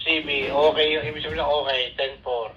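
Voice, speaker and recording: A man's voice: on the high side, level tone, quick pace, highs cut off, faint music behind. A man with a Filipino accent talking casually through a walkie-talkie radio. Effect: heard through walkie-talkie radio. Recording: harsh two-way radio, faint noise.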